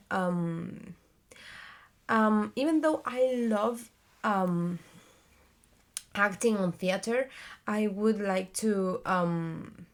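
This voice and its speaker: Young woman, twenties, Spanish accent, slow and halting with plenty of fillers, a gravelly fry in the voice; casual vlog delivery. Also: medium pitch. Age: 20s. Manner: hesitant, many fillers and restarts, searching for words. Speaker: vlogger and theater actress. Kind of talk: casual talking-to-camera vlog.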